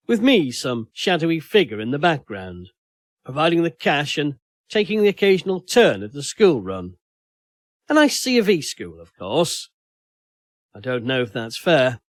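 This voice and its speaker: A man's voice: fairly high pitch, no echo, clean studio sound. A man narrating first-person fiction as a dramatic audiobook reading.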